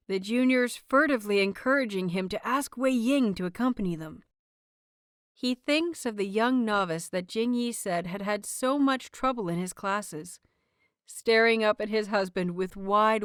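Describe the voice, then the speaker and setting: A woman's voice: medium pitch, clean tone, dry recording. Woman narrating a story, dry close sound. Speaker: narrator of an audio fanfiction series.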